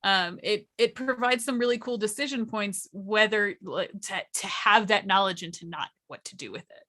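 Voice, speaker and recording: A woman's voice: mid-range voice, moderate pace, pushed and bright. A woman giving casual, articulate explanations as a workshop panelist, with a wry conversational manner.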